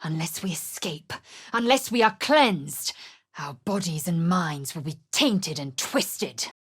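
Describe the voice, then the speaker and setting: An actress playing a proud, ruthless alien warrior woman in a video game, speaking with clipped, commanding disdain and a husky voice. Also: on the low side, animated, slow, dry recording, clean studio sound, heavily acted. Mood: stern, commanding. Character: stern, imperious female alien githyanki warrior, proud and ruthless. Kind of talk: scripted video game character lines.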